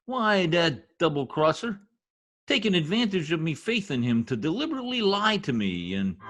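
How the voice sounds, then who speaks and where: A man's voice: on the high side, big pitch swings, normal speed, fairly bright tone. A man playing a blustery, slangy middle-aged husband in a recreated 1950s radio comedy. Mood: folksy, self-important. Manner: folksy slang, dropped grammar, exclaiming.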